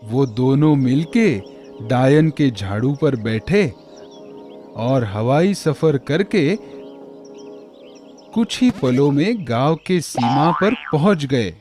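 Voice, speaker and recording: A man's voice: medium pitch, lively intonation, takes it slowly, long pauses, a little mellow, soft background music. A man narrating a scripted fairy tale for children.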